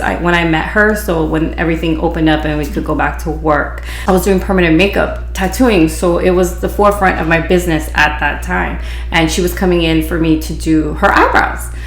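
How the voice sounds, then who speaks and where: Hawaii woman w/ pidgin accent chatting away on her podcast, bit of vocal fry, roomy echo. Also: low-pitched, fairly bright tone. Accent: Hawaiian Pidgin-influenced. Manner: chatty, rambling, frequent restarts and "you know". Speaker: podcast host, beautician. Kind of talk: casual storytelling.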